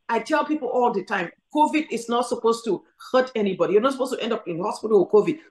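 Insistent woman, echoey room. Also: mid-range voice. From Cameroon. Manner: emphatic, insistent, repeats her urgings. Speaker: doctor answering callers. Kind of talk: call-in advice.

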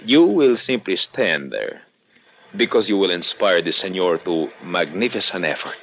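An actor playing a suave foreign gun-running villain with a Spanish accent, mock-courteous and unhurried, in 1950s radio drama style. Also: male voice, sits low, lively intonation, fairly bright tone, full character voice. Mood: polite, threatening. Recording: muffled, noisy old radio recording.

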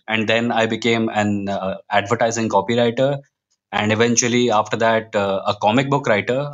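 A man's voice: mid-range voice, a bit bright. A man with an Indian accent speaks as an interview guest in casual, self-deprecating conversation. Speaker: podcast guest, freelance writer.

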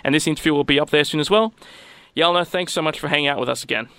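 A man's voice: fairly high pitch, racing, pushed and bright, clear, resonant tone, no room sound. A man hosting an interview, asking direct questions and reading the show's promos. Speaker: interview host. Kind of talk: interview questions and show outro.

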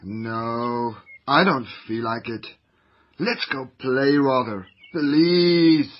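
A man's voice: medium pitch, drawn-out words, lo-fi sound. A man voicing a lazy, whiny young boy who would rather play than study.

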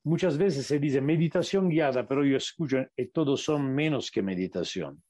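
A man with an Italian accent, a native Italian speaker, giving a radio interview as a meditation teacher and often pausing to search for the right word. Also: mid-range voice, expressive, dark tone, no room sound, studio quality. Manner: searching for words, slowing to stress points. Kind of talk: reflective interview answers.

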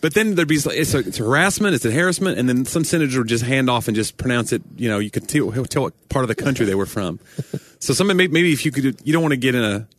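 A man's voice: mid-range voice, animated, fast talker, a little hiss or hum. A man from Tennessee with a Southern accent, co-hosting a podcast in a joking, rambling chat. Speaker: podcast co-host. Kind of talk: casual chat.

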